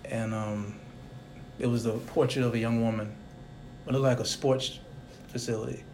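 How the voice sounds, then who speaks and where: A young man with a mid-range voice talks through an old memory before turning to his questions. He rambles gently and reflects as he goes. A faint hiss sits under the recording. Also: medium pitch, steady tone, moderate pace. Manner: rambling, reflective, soft-spoken. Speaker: interviewer. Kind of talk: casual conversational interview.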